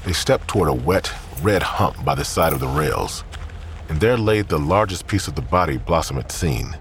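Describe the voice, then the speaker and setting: A man with an extremely deep voice narrates a crime story. His delivery is scripted, grim and deliberate, set over clearly audible ambient noise. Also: lively intonation.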